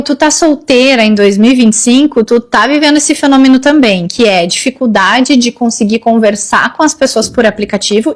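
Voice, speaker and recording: High voice woman ranting casually about dating, keeps going "gente". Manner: chatty, exasperated, addresses viewers as "gente". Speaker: single woman giving dating views. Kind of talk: casual chat to camera.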